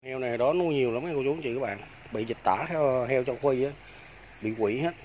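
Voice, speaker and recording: A man's voice: mid-range voice, fairly quick, darkish tone, lo-fi sound. Man, casual vlog walkthrough, mid-range voice, outdoors, faint hiss behind. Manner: chatty, keeps addressing viewers as aunts, uncles and friends. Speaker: vlogger giving a farm tour. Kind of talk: casual walking tour narration. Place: outdoors on a rural farm by fish ponds.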